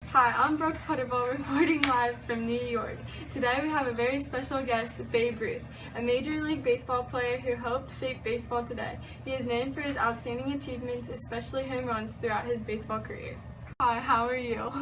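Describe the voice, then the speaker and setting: A woman's voice: fairly high pitch, fairly even intonation, fairly quick. A woman as a news reporter, delivering a scripted mock report and interviewing a guest. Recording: noisy, echoey room, distant mic.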